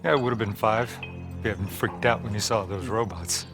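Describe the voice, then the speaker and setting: A man's voice: on the low side, moderate pace, lightly acted. Man playing a brash brotherly soldier, music behind. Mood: casual, guiding. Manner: casual, slangy, swearing. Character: brash, brotherly male soldier squadmate, reassuring. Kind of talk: video game cinematic acting.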